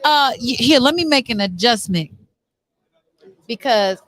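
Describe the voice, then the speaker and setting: High-pitched effusive woman interviewer. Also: lively intonation, a little slow, fairly bright tone. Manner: effusive, encouraging. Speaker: show host and interviewer. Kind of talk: on-location interview.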